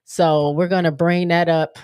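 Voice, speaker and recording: A woman hosting a live stream, chatting casually about basketball in a relaxed, slangy way with plenty of fillers. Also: lowish voice, measured pace, smooth, no room sound, studio quality. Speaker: livestream host.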